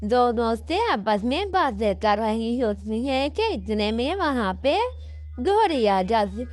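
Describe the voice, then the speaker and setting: A woman storyteller with a high voice and very lively intonation. She narrates a children's tale slowly and sympathetically, in a dry, close recording. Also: dry recording, soft background music.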